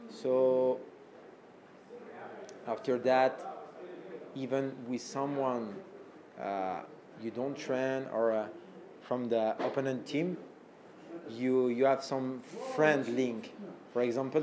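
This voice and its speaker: A man from France, a native French speaker, answering interview questions in halting English with many fillers and restarts. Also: mid-range voice, background noise. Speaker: fighter being interviewed. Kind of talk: interview answers in second language.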